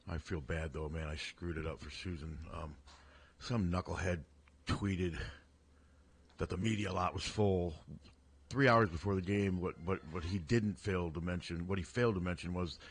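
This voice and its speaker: A man co-hosting a radio sports talk show, telling a story in a casual, self-deprecating way. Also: sits low. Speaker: sports reporter and co-host. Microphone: studio broadcast mic.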